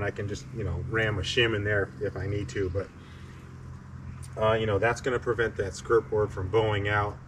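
A middle-aged man explaining a building project in casual, hesitant short phrases with frequent pauses. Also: fairly low pitch. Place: pole barn interior and outdoors beside it. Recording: distant mic with faint ambient noise.

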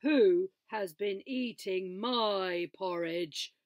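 A woman doing a slow, gruff father bear. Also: mid-range voice, darkish tone, no echo, studio quality. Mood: grumpy.

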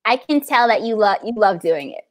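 A woman's voice: mid-range voice, very animated, brisk. A woman in her thirties from New York City, chatty and effusive, hosting a casual interview over a video call and reading out audience questions. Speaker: library event host, interviewer.

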